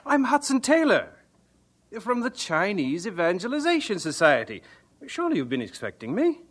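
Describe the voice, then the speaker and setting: An actor playing an earnest, idealistic young missionary in an audio drama, eager, pious and a little naive. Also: male voice, sits high, wildly swooping pitch, moderate pace, a bit bright, exaggerated voice. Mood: eager, surprised. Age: young adult.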